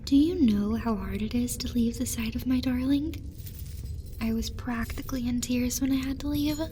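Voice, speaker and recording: A woman's voice: a little high, noisy background, close to an everyday voice. A woman playing a jealous, clingy yandere in an ASMR roleplay, indignant and pouty, cutesy yet bloodthirsty.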